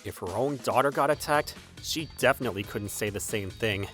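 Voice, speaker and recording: A woman's voice: very deep voice, brisk, fairly bright tone. A woman voicing a level-headed, sensible husband in a manga dub, conversational and reasoning, with background music. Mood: calm, reasoning. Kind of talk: manga dub character acting.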